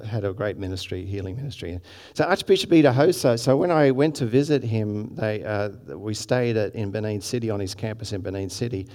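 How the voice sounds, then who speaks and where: A man's voice: on the low side, darkish tone, big-room sound. A man with an Australian accent preaching a sermon, chatty with many restarts and asides to the congregation. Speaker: pastor.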